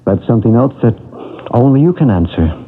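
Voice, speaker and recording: An actor playing a calm paranormal expert who gently reassures a woman in an old radio drama. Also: medium pitch, fairly quick, slight background noise, close to an everyday voice. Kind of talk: 1970s radio drama acting. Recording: tinny old radio broadcast.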